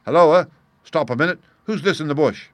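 A man voicing a sharp detective who speaks in a quick, clear, commanding way. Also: mid-range voice, no echo, lightly acted. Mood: brisk.

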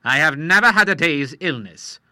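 A man's voice: a little high, lively intonation, a little fast, no echo, studio quality, voice pushed up. Man doing a blustering old admiral. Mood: indignant. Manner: loud, blustering and affronted. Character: indignant retired male admiral, sixty-three, hot-tempered.

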